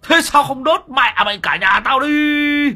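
A man's voice: very high voice, a little slow, faint music behind, pitched above the performer's own voice, heavily acted. A man playing a furious cursing old villager. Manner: stammering, yelling insults.

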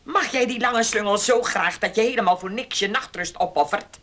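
An actress playing a domineering, scheming farm mistress in a Dutch radio play, commanding and condescending. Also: female voice, mid-range voice, a bit bright, close to an everyday voice. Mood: stern. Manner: commanding, condescending, deliberate threats.

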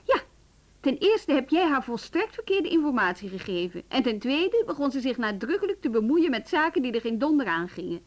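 An actress in a Dutch radio play, playing a brisk, no-nonsense woman in charge who speaks directly and bluntly, short on patience. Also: sits high, big pitch swings. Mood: irritated, firm.

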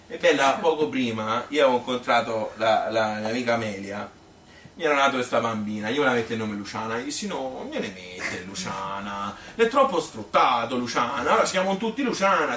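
A man playing a chatty old Tuscan grandmother who tells her life story in a colloquial, rambling, halting stage monologue. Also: medium pitch, animated, pushed and bright. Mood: animated, reminiscing. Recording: roomy, noisy, distant stage recording.

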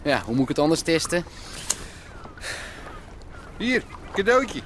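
A man's voice: on the high side, big pitch swings, takes it slowly, fairly bright tone, slight background noise, heavily acted. An actor playing a brash young gangster's errand boy in a radio drama, speaking in blunt, grumbling street slang. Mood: wary, gruff. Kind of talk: naturalistic Dutch radio drama acting.

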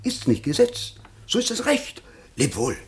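An actor playing a crafty, pompous lawyer in a theatrical comedy, declaiming and whispering in a creaky voice. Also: male voice, sits high, big pitch swings, a little slow, exaggerated voice. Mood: conspiratorial. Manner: sophistical, declamatory. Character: crafty, pompous male lawyer hungry for inheritance. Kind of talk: theatrical classic comedy acting.

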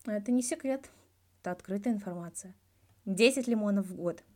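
A woman's voice: medium pitch, brisk, dark tone, natural voice. A woman voicing a frank, colloquial male hockey star answering in an audiobook interview. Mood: casual. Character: blunt, reflective male Russian hockey star giving an interview.

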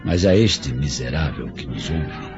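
Líder rebelde vingativo, voz bem variada. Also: male voice, extremely low pitch, expressive, a little slow. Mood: vengeful, solemn. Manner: grandiloquent, theatrical declamation. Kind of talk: radio drama acting. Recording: dull radio-drama recording, faint music behind.

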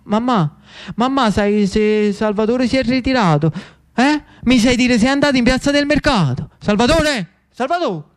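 Man acting an impetuous young Neapolitan, pitched very high. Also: expressive, normal speed. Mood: anxious, searching. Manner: agitated, calling out urgently. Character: impetuous, anguished young man, hot-headed Neapolitan brother. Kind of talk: theatrical monologue acting.

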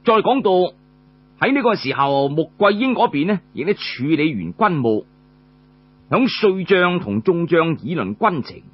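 Animated husky male Cantonese storyteller. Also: on the high side, muffled recording. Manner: colloquial, frequent drawn-out filler particles between phrases. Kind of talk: traditional Cantonese oral storytelling, audiobook narration.

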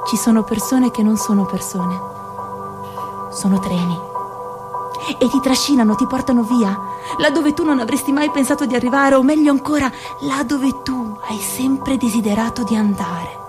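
A woman's voice: on the high side, moderate pace, natural voice. An actress performing a restless young woman who dreams of her own startup, in a rhythmic, poetic theatrical monologue. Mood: dreamy. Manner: rhythmic, incantatory wordplay. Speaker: narrator and lead actor. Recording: music playing behind. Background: music.

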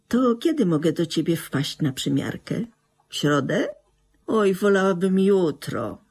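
A woman's voice: medium pitch, a little mellow, slightly rough, exaggerated voice. An actress playing a nonchalant, self-absorbed middle-aged widow and mother in a radio drama, with theatrical delivery. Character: cool, self-absorbed new widow, mother of a grown son. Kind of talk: Polish radio drama acting.

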